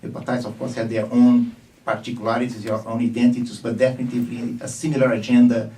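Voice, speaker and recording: A man delivers a formal technical talk in a conference meeting room, his phrasing measured and a little halting. His tone is dark and mellow. He sits some way back from the microphone. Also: medium pitch, little pitch movement, far from the mic, low-quality recording. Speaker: conference presenter.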